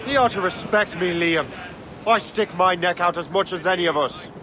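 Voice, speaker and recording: A man's voice: high-pitched, moderate pace, lightly acted. A man playing a cocky, impatient young assassin and ship captain in a video game, with a defiant, sardonic manner. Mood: indignant. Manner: defiant, sardonic, commanding at sea. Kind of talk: dramatic game voice acting. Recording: wind and sea noise behind.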